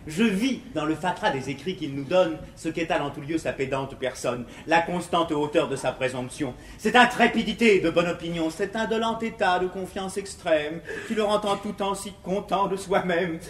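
A high-pitched, earnest young gentleman lover, played by a man, declaims classical comedy with formal emphasis and some exasperation. The 1950s theatre recording is very reverberant, with faint hiss. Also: moderate pace, hardly any pauses, natural voice. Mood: exasperated, mocking. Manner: declamatory, formal, emphatic delivery of rhymed verse. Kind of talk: 1950s French classical stage comedy acting in verse.

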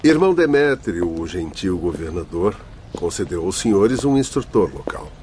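Kindly teacher spirit guy in a radio play, lowish voice, formal, slight noise behind. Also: sing-song, darkish tone. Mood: genial. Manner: formal, measured, explanatory. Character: Doctor Carlos, genial, didactic male spirit guide. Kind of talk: radio drama acting. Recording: faint background noise.

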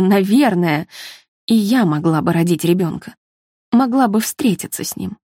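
A woman's voice: mid-range voice, a little mellow, dry recording. Woman narrator, close dry studio sound. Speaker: audiobook narrator. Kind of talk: scripted literary narration.

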